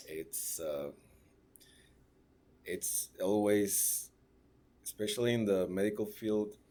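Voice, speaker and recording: Very deep-voiced nurse. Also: male voice, dark tone. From Mexico (raised in Ciudad Juárez). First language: Spanish. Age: 30s. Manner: halting, searching for words, tag questions. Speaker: registered nurse. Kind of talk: class speech presentation.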